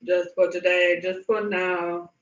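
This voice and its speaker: Woman meditation guide, very flat intonation, soothing pauses, distant muffled roomy recording. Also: on the low side. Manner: soothing, unhurried pauses, repeats phrases. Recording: muffled, echoey, distant from mic.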